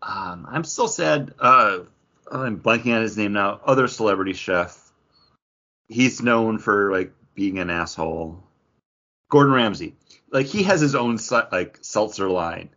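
A man with a husky, fairly low voice chats casually on a podcast. He speaks in halting bursts, and the sound is somewhat muffled. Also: expressive, bright, forceful tone. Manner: halting, peppered with "like". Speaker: podcast co-host. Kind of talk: casual chat.

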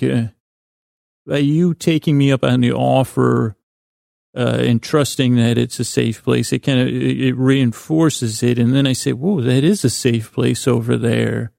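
A man with a deeply creaky, gravelly voice and a mellow, soft-edged tone rambles through a soothing bedtime monologue. His intonation stays flat and lulling as he halts, circles back and interrupts himself. The sound is close and dry, as a podcast host talking gently to listeners. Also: no echo. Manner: meandering, halting, self-interrupting.

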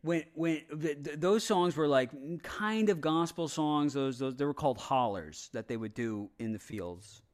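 A man in casual, opinionated podcast talk, halting and rambling, with frequent swearing. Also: a little high. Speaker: podcast panelist.

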